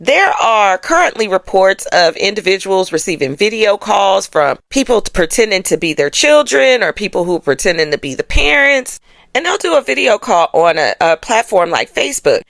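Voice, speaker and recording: A woman's voice: mid-range voice, big pitch swings, moderate pace, vocal fry, fairly bright tone, dry recording. An American woman giving casual astrology commentary as a show host, speaking in a colloquial, slangy, emphatic way and often checking in with listeners.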